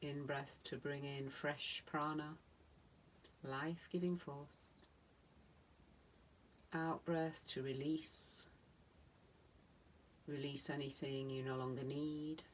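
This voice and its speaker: A woman meditation teacher leading a guided relaxation in a soothing manner, speaking in short phrases with long pauses. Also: low-pitched, flat delivery, a little hiss or hum. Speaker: yoga nidra meditation teacher.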